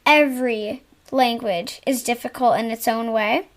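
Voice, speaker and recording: A woman's voice: a little high, unhurried. A young girl talking candidly with her mother on a podcast, hesitant and searching for words. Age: young, child. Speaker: guest, host's daughter.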